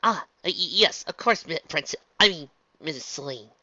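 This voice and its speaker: A bookish young lady unicorn, performed by a man, polite and shy, with a raised pitch and halting, stammering delivery. It is storybook character reading on a slightly muffled recording. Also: big pitch swings, bright, forceful tone, dry recording, full character voice. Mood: nervous. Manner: hesitant, stammering.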